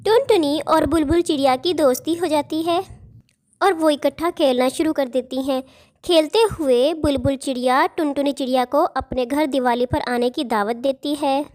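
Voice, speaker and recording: High-pitched woman narrating a children's story. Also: moderate pace, darkish tone. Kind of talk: scripted children's cartoon story narration in Hindi.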